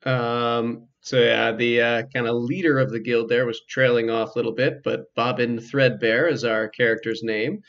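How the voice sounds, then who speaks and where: Casual man with a gravelly, crackly voice. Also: medium pitch, little pitch movement, moderate pace, few pauses, a bit bright, dull audio. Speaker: game player narrating a playthrough. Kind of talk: casual game commentary.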